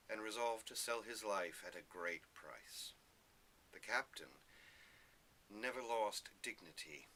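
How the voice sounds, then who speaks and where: A man giving an expressive literary reading in the first person, with measured, dramatic phrasing. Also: on the low side, slight background noise. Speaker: reader of a classic novel excerpt.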